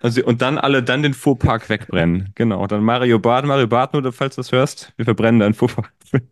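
A man in his late thirties hosts a podcast conversation, talking in a loose, rambling way with plenty of fillers and the odd joke. His pitch sits in a middle range. The recording is dry and close, with no room sound. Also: brisk, no room sound. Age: 30s. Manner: rambling, joking, many fillers. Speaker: podcast host. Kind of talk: casual conversational interview.

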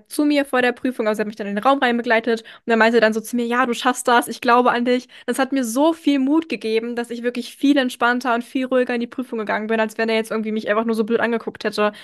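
A young woman hosting an interview, friendly and agreeable, chatting casually and often affirming what she hears. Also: fairly high pitch. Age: young adult. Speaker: interviewer, host.

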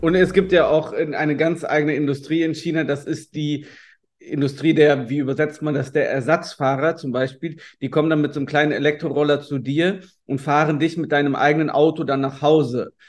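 A man from Germany, a podcast guest, answering questions in a relaxed, anecdotal way with many fillers and restarts. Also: fairly high pitch, a little fast. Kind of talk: interview answers, storytelling.

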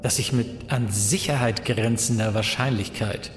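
A man's voice: fairly low pitch, steady tone, a little slow, natural voice. Echoing, level-headed professor, acted by a man. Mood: analytical. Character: level-headed male professor exploring an alien mystery. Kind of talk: German hobby science-fiction audio drama acting. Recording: echoey hall reverb with noise.

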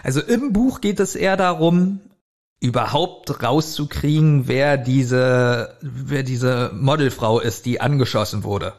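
A man's voice: mid-range voice, a little slow, darkish tone. A man co-hosting a podcast, casually commenting and thinking aloud in loose phrases. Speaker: podcast co-host. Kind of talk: casual chat, commenting on an audio drama.

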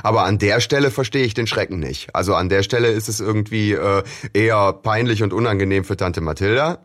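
A man's voice: fairly low pitch, fairly quick, a bit bright. A man co-hosting a fan podcast, arguing his view in casual chat with frequent restarts. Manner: argues his point with many restarts and fillers. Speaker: podcast co-host.

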